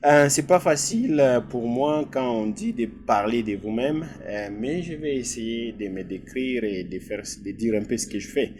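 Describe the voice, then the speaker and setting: A man's voice: mid-range voice, expressive, normal speed, hardly any pauses. A middle-aged man from DR Congo, a physician guest answering interview questions with many hesitations. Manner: hesitant, many filler sounds.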